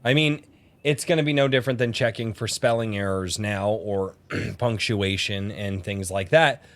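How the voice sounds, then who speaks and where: A man's voice: fairly low pitch, normal speed. A man hosting a casual group podcast, speaking bluntly with the odd swear word. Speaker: podcast host.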